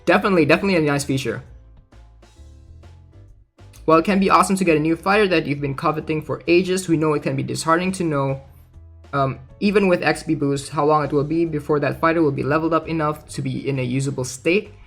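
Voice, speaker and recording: Male game streamer, voice a bit high, casual livestream commentary, hesitant think-aloud delivery, music underneath. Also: fast talker, creaky, a bit bright.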